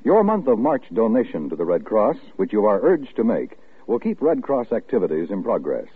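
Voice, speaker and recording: A man's voice: lowish voice, wildly swooping pitch, moderate pace, slightly rough, dry recording. A man announcing from a script in a formal, emphatic old-time radio style. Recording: muffled, tinny old radio broadcast.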